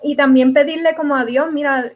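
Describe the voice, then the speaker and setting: A young woman, a native English speaker, giving her testimony as an interview guest, soft-spoken and slipping English words in. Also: high-pitched, steady tone, fairly quick, highs cut off.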